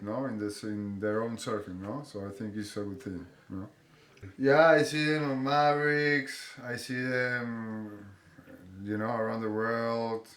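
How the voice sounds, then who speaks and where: A man's voice: fairly low pitch, a bit bright, reverberant. A male surfer from Peru who speaks natively, tagging "no?" onto his sentences during a contest interview. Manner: slow, adds a questioning "no?" to his sentences.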